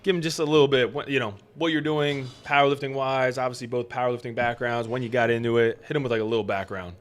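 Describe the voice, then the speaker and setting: A man hosting a podcast who prompts his guests with quick, casual questions. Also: mid-range voice, fairly even intonation. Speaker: podcast host. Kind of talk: interview questions.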